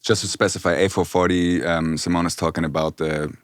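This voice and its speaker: A man with a very deep voice leads the panel. He asks questions in a measured, curious way. The sound is dry and close. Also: fairly even intonation, no echo. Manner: measured, inquisitive. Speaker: moderator. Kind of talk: panel moderation.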